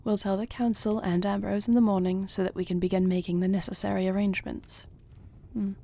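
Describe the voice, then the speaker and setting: A woman playing a noble, devoted warrior queen in an intimate fantasy audio roleplay, husky and breathy, speaking in measured, earnest, formal phrases. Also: medium pitch, little pitch movement, warm tone. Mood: tender, wistful.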